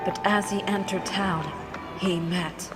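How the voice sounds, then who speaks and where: A woman's voice: medium pitch, fairly even intonation, slow pace. A woman acting as hostess and narrator of a scripted story, telling it in a dramatic storytelling style. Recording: long reverb, music behind.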